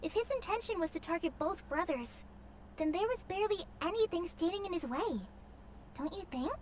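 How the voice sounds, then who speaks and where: A woman's voice: very high-pitched, animated, a little fast, slight background noise. A woman voicing an earnest, empathetic and troubled young helper in a video game. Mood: pensive.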